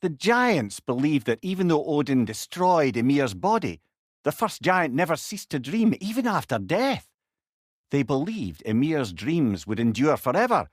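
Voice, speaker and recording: A man's voice: on the high side, very animated, measured pace, no room sound, studio quality. An actor playing a witty, learned old male sage in a video game, with a Scottish accent and a wry, eloquent way of talking. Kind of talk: video game character dialogue.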